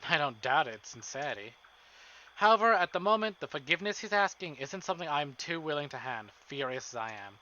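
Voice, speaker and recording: A male streamer reading visual novel narration aloud in an expressive, dramatic way, with casual asides. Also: on the high side, a little fast, highs cut off. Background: game music.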